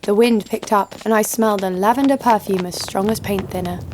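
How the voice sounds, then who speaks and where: A woman's voice: mid-range voice, steady tone, brisk, a little mellow, a little hiss or hum, natural voice. Tough, sardonic woman bounty hunter, acted out. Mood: wry, tense. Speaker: lead actor and narrator. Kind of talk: scripted audio drama narration.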